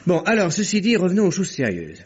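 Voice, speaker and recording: A man's voice: high voice, wildly swooping pitch, quick pace, slightly rough, full character voice. A man playing a seasoned, wry police inspector in a French radio mystery play, brusque and ironic as he questions people. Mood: probing, wry. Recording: dull lo-fi radio recording.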